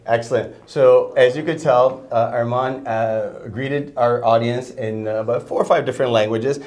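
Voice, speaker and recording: A man's voice: medium pitch, fairly even intonation, normal speed, darkish tone. Formal male host of a studio show. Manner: formal introductions, frequent "uh" and "excellent". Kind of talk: studio interview hosting.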